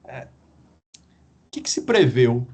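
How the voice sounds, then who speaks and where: A man, a guest professor, explaining at a measured pace in a podcast discussion and often ending his points with a questioning tag. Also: medium pitch, big pitch swings, a little mellow, slightly rough. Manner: measured, explanatory, frequent "né?" tags.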